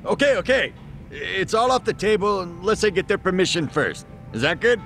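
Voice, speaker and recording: Lecherous, cowardly lowlife for a video game, animated intonation, crass street talk. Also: male voice, normal speed, creaky, full character voice. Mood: pleading. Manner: slangy, crass. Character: crude, lecherous low-level thug, cowardly underneath. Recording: faint music behind.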